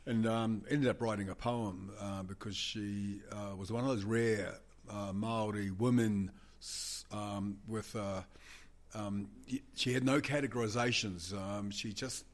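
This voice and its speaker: A man speaking in a halting, soft-spoken way, full of pauses and fillers. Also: fairly low pitch, steady tone. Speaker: guest. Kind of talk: casual chat.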